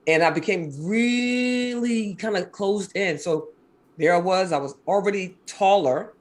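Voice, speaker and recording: A woman in her fifties from Maryland with a deep, husky voice, talking fast and animatedly as an interview guest. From Maryland, USA. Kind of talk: casual interview chat.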